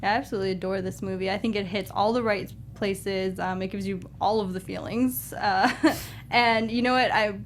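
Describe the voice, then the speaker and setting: A woman's voice: medium pitch, fairly even intonation, normal speed, slight background noise. A woman chatting casually as a panelist on a film review show. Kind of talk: casual chat.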